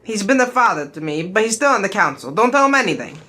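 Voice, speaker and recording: A woman's voice: medium pitch, sing-song, fast, bright, forceful tone, slight background noise. A woman playing a brooding, devoted young male hero in a parody audio drama. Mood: troubled.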